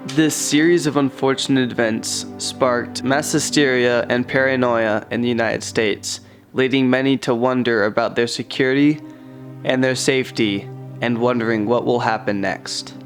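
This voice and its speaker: A man reading documentary narration in a reflective, even way. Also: medium pitch, moderate pace, music in the background. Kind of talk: scripted narration.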